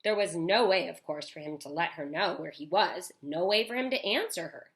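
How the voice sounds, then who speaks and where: A woman teacher reading a storybook aloud to children, expressive, with chatty asides. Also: fairly low pitch, normal speed. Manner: animated, with chatty asides to young listeners.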